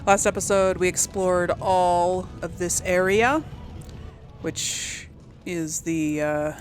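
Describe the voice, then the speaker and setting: A woman hosting a casual video game let's play, rambling and musing to herself as she goes. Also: on the low side. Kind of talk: casual game commentary, thinking aloud. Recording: faint background noise.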